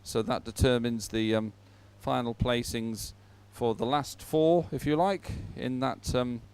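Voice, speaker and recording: Clear-voiced man presenting live sport. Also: medium pitch, fairly even intonation, unhurried, a little mellow. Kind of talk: unscripted live event presenting.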